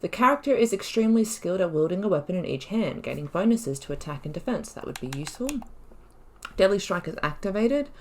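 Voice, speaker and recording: A woman's voice: medium pitch, animated, fast talker, a little mellow. A woman giving casual let's play commentary, chatty and musing, thinking aloud as she plays. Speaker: gaming YouTuber playing through a role-playing game.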